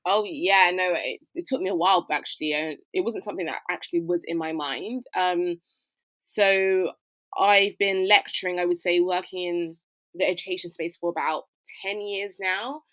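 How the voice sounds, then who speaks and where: A woman's voice: on the low side, steady tone, normal speed, fairly bright tone, no echo. A woman, a fashion educator, answering interview questions in a conversational, reflective way with frequent fillers. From United Kingdom.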